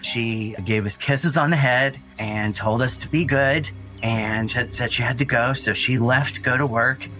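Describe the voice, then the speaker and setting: An actor playing an earnest, anxious young boy who tells his story in a rambling, halting way in an audio drama. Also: mid-range voice, sharp tone. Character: Dougie, frightened earnest young boy recounting his brother's disappearance. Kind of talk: naturalistic audio drama acting. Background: music under the voice.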